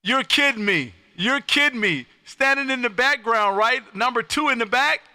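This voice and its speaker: An American man hosting amateur radio contacts on a live stream, loud, exuberant and folksy, often repeating his phrases. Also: very high voice, lively intonation, normal speed, slight background noise.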